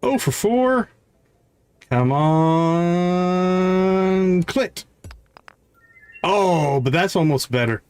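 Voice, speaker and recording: A man's voice: a little high, expressive, extremely slow, long pauses, darkish tone, clean tone, dry recording. A man hosting a game livestream, thinking aloud in casual, chatty commentary as he plays. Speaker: livestream host playing a video game. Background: game music.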